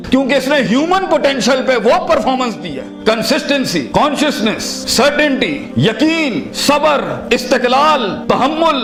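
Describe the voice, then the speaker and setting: A man with a Pakistani accent giving an impassioned motivational Islamic lecture, speaking emphatically with rhetorical repetition and switching into English phrases. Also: very high voice. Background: background music.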